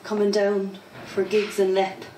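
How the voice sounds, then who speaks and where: A woman's voice: medium pitch, monotone, a little slow, mellow, a little hiss or hum. Woman, thirties, Cork Irish accent, spoken word poet, rhythmic reciting, handheld mic, roomy pub sound from a distance. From Ireland. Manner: chatty, self-deprecating, rhythmic reciting. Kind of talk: casual interview and poetry performance. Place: pub with small stage. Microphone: handheld mic, far camera. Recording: echoey pub from far off.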